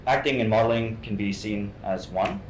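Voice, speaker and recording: A man's voice: on the low side, normal speed. A young man from Hong Kong, a guest actor, answering interview questions casually and often repeating words and restarting his sentences. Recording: roomy sound with faint noise.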